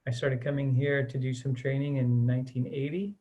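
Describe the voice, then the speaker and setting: A man's voice: medium pitch, flat delivery, brisk, mellow, lo-fi sound. A middle-aged man from the United States, talking in an earnest, chatty way with lots of "uh" and "um" as an interview guest. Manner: earnest, chatty, with many "uh" and "um" fillers. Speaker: interviewee, missions mobilizer. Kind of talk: relaxed conversational interview.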